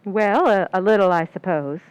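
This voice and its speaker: A woman's voice: medium pitch, normal speed, clean tone, dry recording, close to an everyday voice, low-quality recording. A woman playing a young, ambitious movie stand-in with a guilty secret, confiding in old-time radio suspense style. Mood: nervous, evasive.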